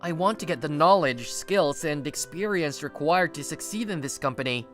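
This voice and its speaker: An exasperated, guarded young man at the office acted by a woman in a manga video dub. The voice sits a little low for a woman and swings widely in pitch as he defends himself. Music plays underneath. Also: lively intonation, normal speed, fairly bright tone. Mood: defensive, exasperated. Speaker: narrator and lead of a manga dub. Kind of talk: dramatized first-person story narration and dialogue.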